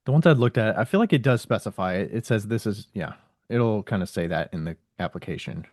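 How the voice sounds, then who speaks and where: A man's voice: lowish voice, expressive, brisk, a little mellow, no room sound, clean studio sound. A man hosting a podcast, asking questions in a casual, conversational way. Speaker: podcast host interviewing a guest.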